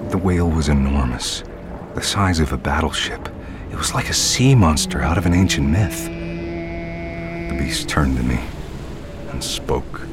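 Tired night shift dude narrating, super low brooding voice, loud music behind. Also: male voice, big pitch swings. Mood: hushed wonder. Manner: brooding, measured storytelling. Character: Dave, weary night-shift worker narrating his strange dream. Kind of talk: first-person audio fiction narration.

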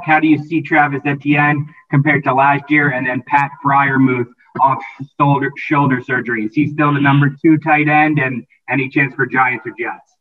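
A male reporter asking questions on a press conference call. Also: on the high side. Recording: distant, narrow phone line.

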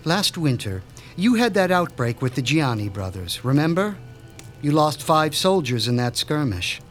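A man playing an obsessive mob doctor and mad scientist, speaking in a measured, theatrical way. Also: on the high side, very animated, soft background music. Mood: grandiose. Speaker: doctor character. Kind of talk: audio drama acting.

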